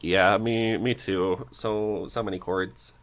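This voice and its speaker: Deep-voiced man, hesitant podcast host. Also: steady tone, slow, a bit bright. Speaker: podcast co-host reading questions. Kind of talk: casual interview chat.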